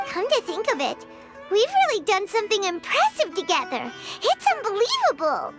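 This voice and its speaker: Squeaky, excitable tiny fairy girl. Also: moderate pace, full character voice. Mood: curious, chatty. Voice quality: squeaky, childlike. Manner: exclaiming, bubbly, refers to self in third person. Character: tiny excitable floating fairy companion, childlike girl, food-loving. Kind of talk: animated video game voice acting. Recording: loud music behind. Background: game music.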